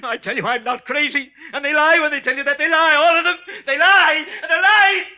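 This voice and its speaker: An old, dull radio recording of a crazed killer with a high voice. He is possessive and obsessive, circling the same phrases as his muttering climbs toward shrill frenzy. It is full-blown vintage thriller melodrama. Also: fairly even intonation, fairly quick. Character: deranged murderer clerk, gibbering, possessive of imagined voices. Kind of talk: 1940s radio thriller acting.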